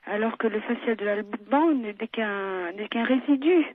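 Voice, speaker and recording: A woman's voice: mid-range voice, measured pace, slight background noise. Woman doctor on a tinny phone line. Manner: matter-of-fact, courteous, didactic. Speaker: doctor taking a phone call. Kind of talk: explanatory phone conversation.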